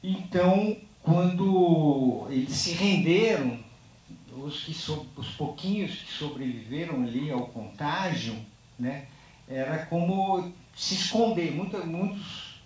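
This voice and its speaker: A man with a fairly high voice speaks after a film screening in a halting, thoughtful way, tagging his phrases with questions. He is far from the microphone. His words ring through a large, very echoey room. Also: a little mellow. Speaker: filmmaker speaking on a post-screening panel. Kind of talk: unscripted panel discussion.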